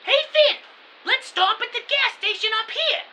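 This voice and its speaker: A squeaky, eager gangster sidekick, pitched extremely high. He is a dim comic henchman from an audio drama, heard a little far from the mic with faint hiss. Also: lively intonation, a little slow, sharp tone, exaggerated voice. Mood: whiny, casual. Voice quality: squeaky falsetto. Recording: distant, hissy home recording.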